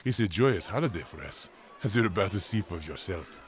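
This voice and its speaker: Deep-voiced, fatherly German village priest. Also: animated. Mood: storytelling. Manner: measured, storytelling, fatherly. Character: kindly German village priest telling saints' tales. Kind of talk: family audio drama acting.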